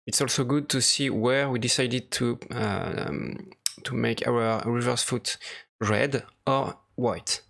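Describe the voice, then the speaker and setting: Gravelly-voiced man giving a halting software tutorial. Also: mid-range voice. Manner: hesitant, halting explanation. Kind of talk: unscripted screen-recorded tutorial narration.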